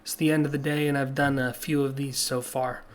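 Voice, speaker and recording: Slightly hoarse male teacher. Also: medium pitch, level tone, brisk. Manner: plain, patient explaining with many "uh" fillers. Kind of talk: recorded classroom lesson over slides.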